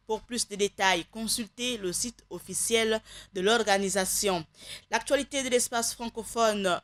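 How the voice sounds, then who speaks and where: A woman presents a radio program from a studio with a dry, close sound. She talks in an easygoing, chatty way at a middle pitch, thanking and greeting listeners and staff. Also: fairly bright tone, no room sound. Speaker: radio show host. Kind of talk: live radio show wrap-up.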